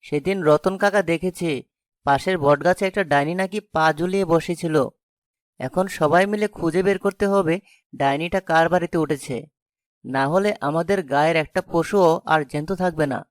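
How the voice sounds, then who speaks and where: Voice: mid-range voice, moderate pace, no room sound, lightly acted. An actor voicing a kind-hearted young village cowherd lad in a fairy-tale cartoon. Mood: worried, earnest.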